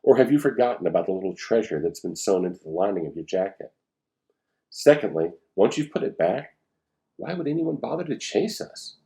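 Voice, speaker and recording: Storybook role: firm, logical girl spy read by a man, explanatory delivery in a low, soft-toned register. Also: sing-song, brisk, vocal fry. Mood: matter-of-fact. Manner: matter-of-fact, explanatory. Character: logical, firm, know-it-all schoolgirl spy. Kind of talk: storybook read-aloud dialogue.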